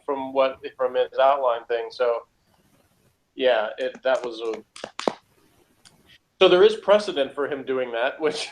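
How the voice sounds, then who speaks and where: A man's voice: medium pitch, low-quality recording. Male podcast panelist, mid-range voice, conversational and informal. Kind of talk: casual discussion of a TV show.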